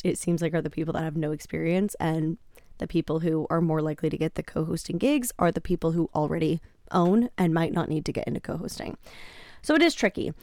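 Slightly croaky woman podcaster, dry close sound. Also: lowish voice, a little mellow, dry recording. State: slightly raspy, croaky voice after a conference. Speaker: podcast host. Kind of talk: casual solo podcast talk, advice.

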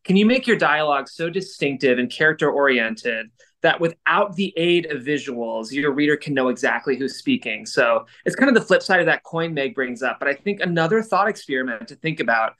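A man's voice: fairly high pitch, lively intonation, a little fast, pushed and bright. A man co-hosting a podcast discussion, talking in a thoughtful, articulate, explanatory way. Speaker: podcast co-host.

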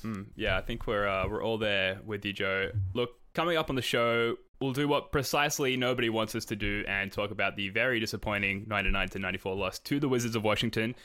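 A man's voice: fairly low pitch, fast talker, few pauses, sharp tone, clear, resonant tone. A man hosting a casual sports podcast, introducing his co-hosts and topics with wry jokes. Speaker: podcast host.

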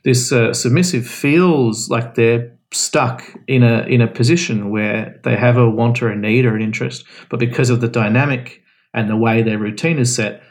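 A man with a gravelly, creaky voice and a middle pitch talks through advice in a relaxed, explanatory way. He restarts his sentences often as he thinks aloud in a casual podcast chat. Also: moderate pace. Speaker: guest answering questions.

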